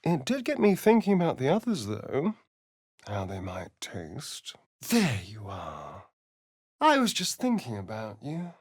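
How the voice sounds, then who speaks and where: A sly, vain vampire rogue. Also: male voice, full character voice. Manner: arch, teasing, theatrical swoops in pitch. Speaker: game companion character. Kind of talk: video game voice acting.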